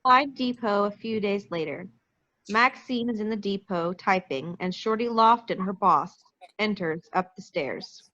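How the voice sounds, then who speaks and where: Lo-fi muffled call sound, woman, bright voice, scripted narration, stage directions reader. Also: mid-range voice, a little slow. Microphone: video call. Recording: dull, lo-fi video call audio.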